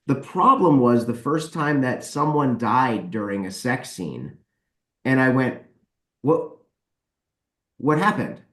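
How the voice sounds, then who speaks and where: A man's voice: mid-range voice, expressive, slow, pauses often, a bit bright. A man in a casual podcast discussion, speaking emphatically and repeating words to drive points home. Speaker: podcast guest, author and editor. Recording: roomy, echoey voice pickup.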